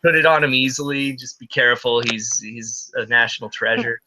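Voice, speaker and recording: A man's voice: medium pitch, brisk, pushed and bright, rough recording. A man co-hosting a podcast, chatting casually and telling anecdotes in a conversational way. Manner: conversational storyteller, quotes others. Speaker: podcast co-host.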